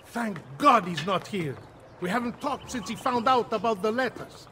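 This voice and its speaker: High-pitched, anxious Italian nobleman. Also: expressive, normal speed, pushed and bright, a little hiss or hum. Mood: anxious, exasperated. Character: nervous indebted Italian nobleman, sardonic and resigned. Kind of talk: video game cutscene acting. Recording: background music.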